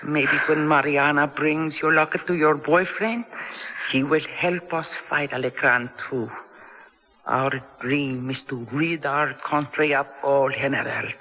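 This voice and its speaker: A Latin American woman prisoner, conspiratorial and hopeful. Her voice is bright and fairly low, with a Spanish accent and Spanish words slipped into careful English, as in a 1970s radio play. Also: steady tone, a little slow, highs cut off, a little hiss or hum. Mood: conspiratorial, resolute. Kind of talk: radio drama acting. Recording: 1970s radio drama recording.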